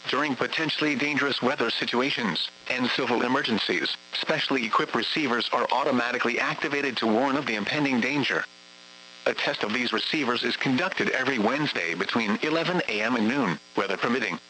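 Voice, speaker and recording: A man announces a routine emergency alert test with a measured, formal delivery. His voice is bright and forward, with a faint hiss underneath. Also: medium pitch, low-quality recording. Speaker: weather radio announcer.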